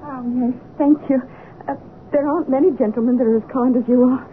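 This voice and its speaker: Actress as a high-voiced poor street girl. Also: fairly even intonation, fast, close to an everyday voice. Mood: wary, grateful. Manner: hesitant, wary, deferential. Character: timid, poor young London street girl, worn but cheeky. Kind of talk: old radio drama acting. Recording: tinny, noisy old radio recording.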